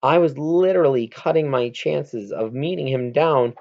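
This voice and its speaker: A man reading a children's novel aloud as narration, in an expressive, amateur read-aloud manner. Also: mid-range voice, moderate pace, dull audio. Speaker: audiobook reader.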